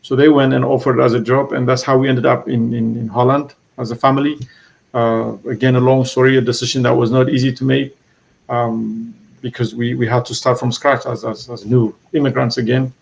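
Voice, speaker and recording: A man from Eritrea recounts his family's past in an interview. His voice has a rough, gravelly edge at a middling pitch. He speaks haltingly, often restarting and repeating words. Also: little pitch movement, poor audio. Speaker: author being interviewed. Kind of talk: reflective storytelling.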